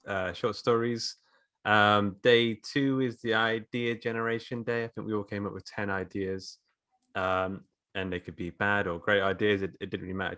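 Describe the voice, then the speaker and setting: A man's voice: fairly low pitch, fairly bright tone. A man who co-leads a course, explaining casually and a little hesitantly on a podcast. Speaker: writing course co-leader.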